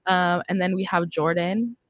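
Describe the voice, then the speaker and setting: A woman's voice: fairly low pitch, normal speed, no echo, muffled recording. A young woman hosting a casual chat in an informal, loosely improvised way, close on a studio microphone. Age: young adult. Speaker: podcast host. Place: podcast studio, indoors. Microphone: close studio microphone on boom arm.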